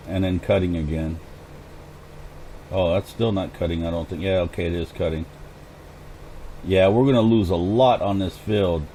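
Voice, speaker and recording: Hoarse male gaming host, low mellow voice, easygoing running commentary, faint hiss. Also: low-quality recording. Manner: chatty, thinks aloud while playing. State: hoarse, clearing throat. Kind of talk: casual gameplay commentary.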